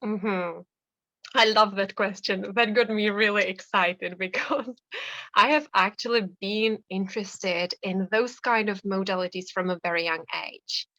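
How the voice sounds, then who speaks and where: A woman in her thirties with a Czech accent, a hypnotherapist telling her story in a casual interview with lively, laughing ease. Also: mid-range voice, measured pace, dry recording. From Czech Republic. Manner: chatty and animated, laughs often. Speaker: hypnotherapist guest.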